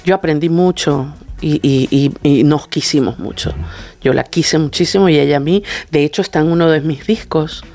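A middle-aged woman, telling stories conversationally as an interview guest in an effusive, animated way and acting out the dialogue. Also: low-pitched, normal speed. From Venezuela. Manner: effusive, acts out quoted dialogue in anecdotes. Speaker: guest, singer and vocal coach.

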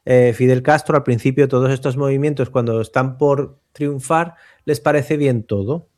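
A man's voice: mid-range voice, steady tone, moderate pace, a little mellow. Spanish-speaking man musing on books. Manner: discursive, many restarts and "eh", rising emphasis. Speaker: host, literature commentator. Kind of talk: casual radio chat about books and film.

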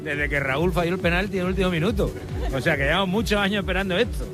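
A man's voice: sits high, fast talker. A man speaks as a football fan. He is colloquial and jokey, outdoors with music playing behind him. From Granada, Spain. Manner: joking, colloquial. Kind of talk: casual vox pop chat. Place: outside a stadium.

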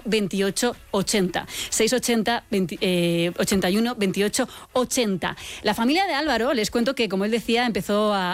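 Female radio host, Castilian accent, chatty and informal, medium pitch, light music bed underneath. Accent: Castilian Spanish. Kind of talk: conversational live radio interviewing.

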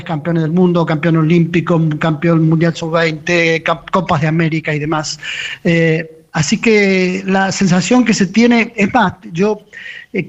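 A man with a Río de la Plata accent reports in over a dull, muffled remote line. His voice sits notably high for a man, and he talks with emotion, pausing on fillers. The sound lacks top end. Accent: Argentine (Río de la Plata). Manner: fluent, emotive, with fillers like "este". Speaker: radio correspondent. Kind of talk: sports commentary on radio.

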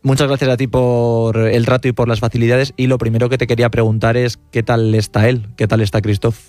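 A man's voice: medium pitch, little pitch movement, brisk, a little mellow, no echo. A man hosting a radio sports show, explaining a story in a conversational way.

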